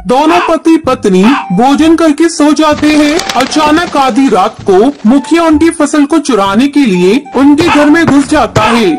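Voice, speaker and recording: A woman reading scripted narration for a children's cartoon story. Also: high-pitched.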